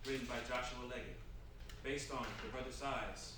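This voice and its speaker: A man's voice: medium pitch, moderate pace. Man narrating a script at a staged reading, real echoey, far away, noisy bg. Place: live staged reading in a room. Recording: distant mic in echoey noisy room.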